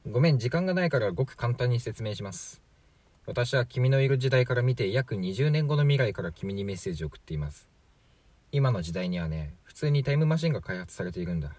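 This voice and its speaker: A man's voice: lowish voice, a little mellow, slightly rough, dry recording. A man playing a chatty, flippant 43-year-old from the future in a radio drama, glib and matter-of-fact. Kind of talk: amateur radio drama acting.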